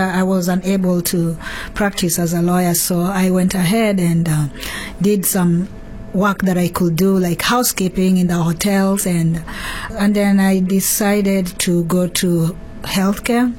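A woman's voice: lowish voice, fairly even intonation, unhurried, darkish tone, rough recording. A woman with a Kenyan accent, telling her personal story as an interview guest. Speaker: interview guest, home care business owner.

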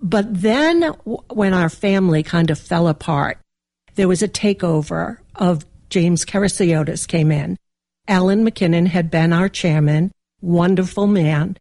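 A woman's voice: on the low side, unhurried, warm tone, no echo. A woman, telling the story of her working life as an interview guest. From Massachusetts.

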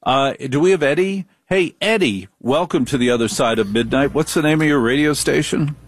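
A man's voice: fairly high pitch, moderate pace, dry recording. An older man hosting a late-night radio talk show, bantering in a wry, joking way.

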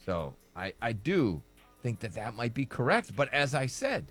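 A man's voice: medium pitch, big pitch swings, normal speed, a bit bright, soft background music. A man co-hosting a casual podcast, teasing his friends and playfully indignant when they derail his point. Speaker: podcast co-host. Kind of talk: casual banter.

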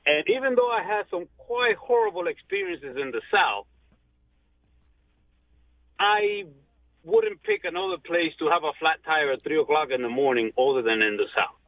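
A man's voice: a little high, very animated, normal speed, gravelly, no room sound. A man in his fifties, born in Cuba, calling into a radio talk show, friendly and rambling with fillers. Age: 50s. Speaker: caller. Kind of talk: phone-in call to a radio show. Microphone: telephone. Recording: tinny phone line.

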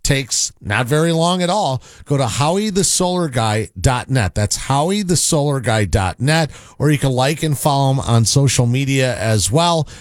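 A man's voice: mid-range voice, lively intonation, normal speed, hardly any pauses, no echo, studio quality. A man reading a radio commercial in an upbeat sales delivery. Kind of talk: read commercial.

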